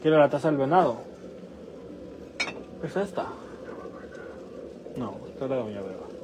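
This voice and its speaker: A man's voice: mid-range voice, very animated, unhurried. A man hosting a casual livestream, chatting in short coaxing questions and instructions. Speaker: livestream host. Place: small house kitchen and living room, indoors. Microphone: handheld phone. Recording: noisy handheld phone in a kitchen.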